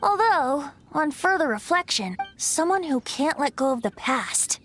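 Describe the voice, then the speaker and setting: A woman's voice: sits high, expressive, unhurried, fairly bright tone, soft background music. A woman voicing a breezy, theatrical young male bard in a video game, with a playful lyrical manner. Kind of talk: video game character acting.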